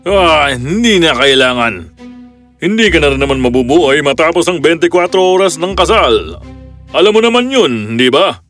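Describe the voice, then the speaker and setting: Casual, breezy fairy-tale monarch, acted by a man, slightly high voice, offhand delivery, faint musical backing. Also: animated, moderate pace, a bit bright, faint music behind. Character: blithe, matter-of-fact king, father of a princess. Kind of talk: children's fairy-tale dialogue.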